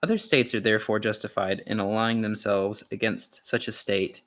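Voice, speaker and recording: A man's voice: on the low side, fairly even intonation, normal speed, a bit bright. A man reading an essay aloud as an amateur, stumbling now and then and correcting himself, with a casual touch. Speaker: amateur reader of a philosophy essay.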